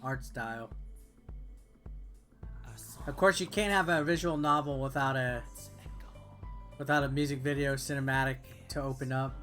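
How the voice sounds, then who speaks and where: A man hosts a gameplay video in a casual, offhand way. His voice sits at an average pitch as he reads game text and comments on it. Soft music plays in the background. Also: normal speed, pauses often. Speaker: game reviewer and video host.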